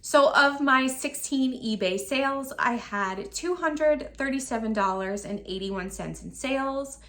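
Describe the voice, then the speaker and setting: A woman in her thirties with a slightly high voice that has turned raspy while she recovers from an illness. She walks through her sales one item at a time, matter-of-fact and orderly. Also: expressive, measured pace, a bit bright. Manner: itemized, matter-of-fact listing. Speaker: online reseller. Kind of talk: sales recap vlog.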